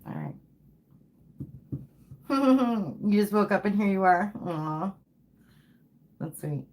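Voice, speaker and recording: A woman's voice: medium pitch, big pitch swings, slow pace, a little mellow. A woman giving a live tarot reading to viewers, casual and chatty, tired and saying she is losing her voice. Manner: casual, repeats "okay?" and "like". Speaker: tarot reader.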